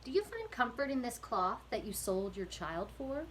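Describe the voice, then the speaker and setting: A woman voicing a cunning male rat who speaks softly and smoothly. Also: on the high side, sing-song, normal speed, slight background noise. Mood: sly. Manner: soft, smooth, silky. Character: sly soft-spoken male rat, cunning and calm.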